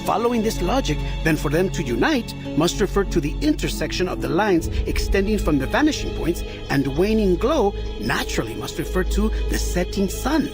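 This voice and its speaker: An eager, scholarly inventor from a story game, with a high and very expressive male voice. He reasons articulately and deliberately, with music playing behind him. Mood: earnest, deducing. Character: Leonardo, eager male inventor and riddle solver. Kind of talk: game voice acting.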